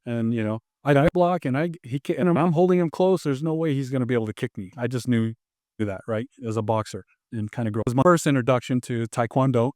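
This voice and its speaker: Man in his 50s from tonga by way of LA, rambling animated storytelling, dry close sound. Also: medium pitch, dry recording. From born in Tonga, raised in Los Angeles. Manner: rambling, animated, frequent restarts and fillers. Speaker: martial arts instructor, podcast guest. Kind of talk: casual interview storytelling.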